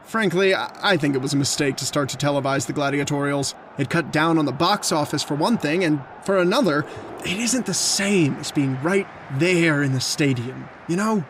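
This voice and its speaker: A man's voice: on the high side, moderate pace, fairly bright tone, slight background noise, lightly acted. A man acting in an old time radio drama as an earnest, talkative suitor with a hesitant, stammering delivery. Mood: chatty, casual. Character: talkative, earnest male suitor, a lovestruck hunter.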